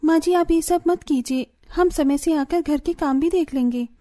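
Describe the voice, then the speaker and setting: A woman's voice: high voice, moderate pace, mellow, lightly acted. A woman playing a hardworking, earnest young daughter-in-law in a village cartoon story. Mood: dutiful. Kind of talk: Hindi moral-story cartoon dialogue.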